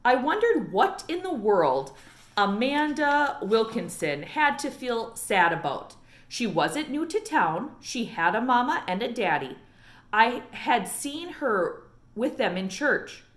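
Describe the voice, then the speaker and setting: A woman reads a children's story aloud with expressive, lively intonation. Her voice is fairly high and varies freely through the narration. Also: takes it slowly, reverberant. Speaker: read-aloud reader.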